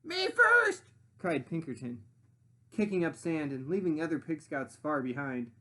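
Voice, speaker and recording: A man narrating a children's story expressively as a read-aloud teacher, pausing to muse and put questions to listeners. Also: a little high. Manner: animated, pausing to muse and prompt.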